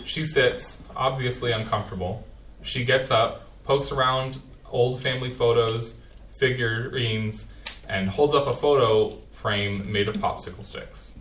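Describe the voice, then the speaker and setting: A stage-directions reader with a mid-pitched voice and a plain, even delivery. The voice sounds set back from the mic and echoes around the stage. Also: unhurried, far from the mic, a little hiss or hum. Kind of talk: reading stage directions aloud.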